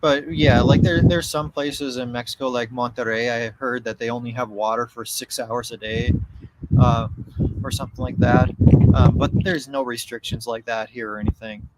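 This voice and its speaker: A man co-hosting a casual live Q&A stream from home, easygoing and quick to laugh as he reads viewer messages aloud. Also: medium pitch, fairly even intonation, heavy background noise. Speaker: co-host of a travel channel live stream. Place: home in Mexico.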